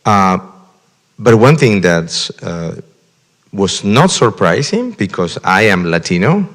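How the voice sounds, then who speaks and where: Deep-voiced guy from argentina hosting a panel, lots of ums, big reverberant hall. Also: big pitch swings, takes it slowly, a bit bright. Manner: hesitant, many fillers and restarts. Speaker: moderator. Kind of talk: panel discussion.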